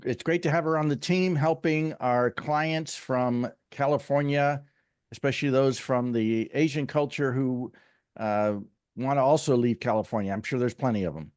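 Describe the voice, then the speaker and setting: A man's voice: medium pitch, moderate pace, a little mellow, clear, resonant tone, no echo, clean studio sound. A man co-hosting a show, speaking in an upbeat, friendly way. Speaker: co-host. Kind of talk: casual chat.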